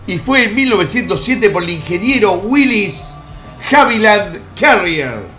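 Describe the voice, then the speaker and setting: Middle-aged man, high voice, crude rambling stream host, faint music behind. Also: lively intonation, measured pace, sharp tone. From born in the United States. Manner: vulgar, joking, rambling asides. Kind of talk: crude comic countdown commentary. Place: home room in Miami.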